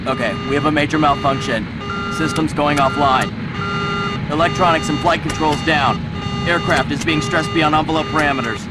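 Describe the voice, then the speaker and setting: A man's voice: a little high, moderate pace, over loud music, natural voice, low-quality recording. An actor playing a doomed pilot in a video game, urgently reporting failures from his aircraft in panic. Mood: panicked. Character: doomed male pilot radioing from failing aircraft. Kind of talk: urgent game radio dialogue. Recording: loud aircraft noise over voice.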